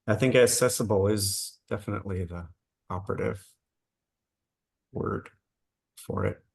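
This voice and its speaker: A man curating and moderating an artist talk, asking slow, measured questions. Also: fairly low pitch, gravelly, slightly rough.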